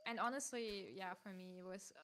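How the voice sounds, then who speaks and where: This is a woman with a German accent, speaking English as her second language. She's a streamer in a loose, animated chat, laughing often and swearing casually. Her voice sits at an ordinary middle pitch. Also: fairly quick, a little hiss or hum.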